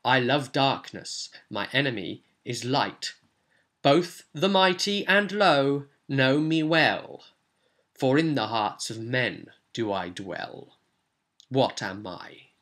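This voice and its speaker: A man voicing an enchanted door that poses a riddle in a slow, measured, portentous manner for a fantasy tabletop game. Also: mid-range voice, lively intonation, fairly bright tone. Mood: solemn, cryptic. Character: enchanted door slowly posing a solemn riddle.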